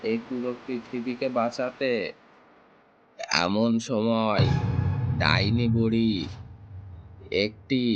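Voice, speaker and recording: A man's voice: medium pitch, highs cut off, a little hiss or hum. A man narrating a scripted cartoon tale dramatically, building suspense phrase by phrase. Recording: loud music behind the voice. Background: loud background music.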